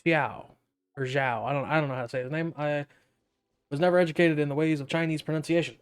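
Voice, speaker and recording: A man's voice: on the high side, fairly even intonation, quick pace, clear, resonant tone. A man giving casual gameplay commentary, rambling and often interrupting himself as he thinks aloud. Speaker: gaming video creator.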